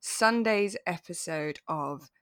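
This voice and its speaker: A British woman co-hosting a podcast in a relaxed, chatty way, talking casually as if with a friend. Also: fairly low pitch, slow, dry recording. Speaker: podcast co-host. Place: remote internet recording, dry room.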